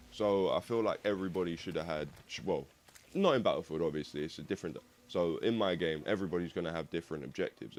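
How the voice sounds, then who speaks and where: A young man with a slightly croaky voice, rambling casually and swearing as he hosts a gaming video. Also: low voice. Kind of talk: rambling casual monologue over gameplay.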